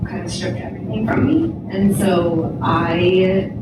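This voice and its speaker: A woman's voice: on the low side, expressive, a little slow. A woman in her forties and a studio owner, talking casually in an interview, with lots of fillers and laughs. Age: 40s. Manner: chatty, filler-heavy, laughs often. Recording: roomy echo from far off, loud noise.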